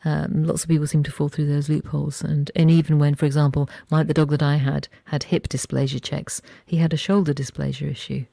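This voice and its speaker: Mellow, creaky-voiced radio interviewer. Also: mid-range voice, little pitch movement, brisk, hardly any pauses, no room sound, clean studio sound. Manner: informal, rambling questions with many restarts. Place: radio studio.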